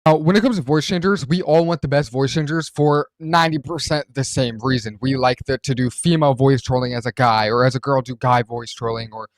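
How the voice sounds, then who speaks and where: A man hosting a casual software walkthrough, explaining things in an informal, loosely rambling way. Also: medium pitch, fairly quick, few pauses, no echo. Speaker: host reviewing voice changer software.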